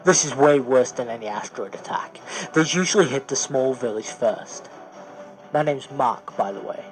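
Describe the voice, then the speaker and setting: A man's voice: mid-range voice, expressive, moderate pace, faint music behind, natural voice, poor audio. A man voicing a mysterious, loyal companion to a prince in a science fiction audio story, earnest and deferential. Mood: urgent.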